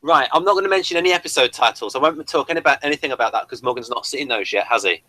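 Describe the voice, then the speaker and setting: A man with a very bright, forward voice recorded close and dry, hosting a casual review chat. His delivery is unscripted and rambling, stopping and restarting as he thinks aloud. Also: mid-range voice, very fast, dry recording. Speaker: host of a review show.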